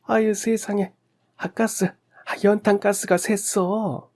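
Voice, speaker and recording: Man playing a raised-pitch motherly neighbour woman. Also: measured pace, a little mellow, lightly acted. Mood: horrified. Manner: motherly, fussing, colloquial. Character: kindly, caring middle-aged neighbour woman.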